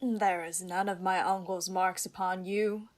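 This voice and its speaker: A woman acting a witty, lovestruck young noblewoman in disguise in a Shakespearean radio play, theatrical and quick-witted. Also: lowish voice, fairly even intonation, close to an everyday voice. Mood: playful mocking.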